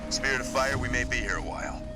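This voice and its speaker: An actor playing a firm, no-nonsense commando team leader in a video game. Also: male voice, mid-range voice, fast talker, natural voice. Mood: businesslike. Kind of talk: dramatic video game acting. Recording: music behind the voice.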